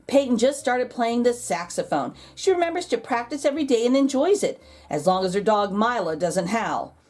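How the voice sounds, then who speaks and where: A woman's voice: a little high, very animated, normal speed, a little mellow. A woman host reading a children's storybook aloud expressively, drawing out key words for emphasis. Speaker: children's picture book read-aloud host.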